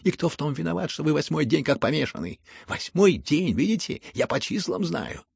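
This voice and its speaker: A man's voice: medium pitch, sing-song, fairly quick, vocal fry, slightly rough, no echo, studio quality, exaggerated voice. A man voicing a spiteful, exasperated grown son who angrily scolds his father in an audiobook, with biting delivery. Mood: mocking rage. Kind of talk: dramatized audiobook reading.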